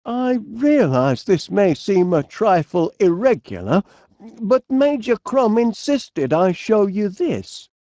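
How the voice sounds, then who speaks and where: An actor playing a polite, bumbling, enthusiastic inventor with a Northern English accent in an animated video game, hesitant and fussily courteous with quaint turns of phrase. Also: male voice, high voice, takes it slowly, no room sound, heavily acted.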